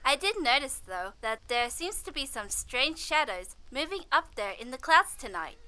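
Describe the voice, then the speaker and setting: A woman's voice: high voice, big pitch swings, normal speed, bright, forceful tone, full character voice, low-quality recording. A woman playing a cheerful field reporter on an alien planet in a science fiction audio drama. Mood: cheerful, composed.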